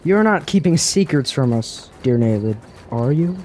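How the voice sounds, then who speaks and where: A sly, insinuating dreamer of a fantasy radio play, played by a man. His voice is a little high and slightly mellow, full of sardonic needling, over faint noise. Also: lively intonation, normal speed, close to an everyday voice. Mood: sly, mocking. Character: sly, teasing dreamer who needles the warrior prince. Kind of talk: radio drama acting.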